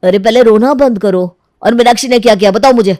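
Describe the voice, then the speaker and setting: A mellow, dry-recorded voice of a young husband acted by a man in a cartoon moral story. He is mild and affectionate, speaking in an everyday family-drama manner. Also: high-pitched, brisk, no room sound, close to an everyday voice. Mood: concerned.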